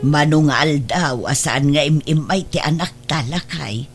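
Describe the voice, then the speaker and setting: A woman's voice: low voice, unhurried, over loud music. A woman playing a worried, protective older mother in a radio drama, with an admonishing, motherly delivery. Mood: concerned.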